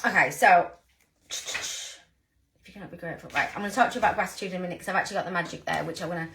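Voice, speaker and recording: A woman in her thirties with a croaky voice, giving an earnest, rambling motivational talk to her team. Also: lowish voice, rapid-fire, fairly bright tone, a little hiss or hum. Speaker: sales team leader.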